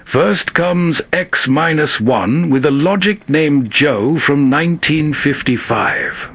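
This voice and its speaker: A man with a slightly high, husky voice narrates as host at a slow, soothing pace. The delivery is calm and scripted, recorded close and dry. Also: no room sound.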